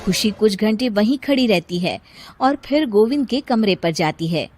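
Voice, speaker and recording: A woman narrating a scripted cartoon story as an expressive storyteller. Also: mid-range voice, normal speed, noisy background.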